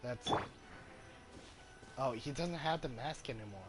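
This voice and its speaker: A man giving casual gameplay commentary, halting and thinking aloud as he plays. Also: medium pitch. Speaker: gamer commentating on own gameplay. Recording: game music behind the voice.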